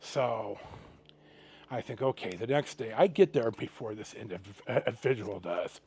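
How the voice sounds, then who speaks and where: Middle-aged man, very lively intonation, casual storyteller, halting and rambling, dramatic pauses. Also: on the low side, slightly rough. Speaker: host of a personal storytelling vlog. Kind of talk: casual, unscripted anecdotal storytelling to viewers.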